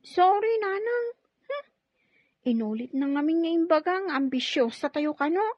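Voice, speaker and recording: A woman's voice: extremely high pitch, expressive, moderate pace, no room sound. A woman acting a hurt, dutiful young child from a poor family in an Ilocano audio drama. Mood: hurt, pleading. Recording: dull, lo-fi dry recording.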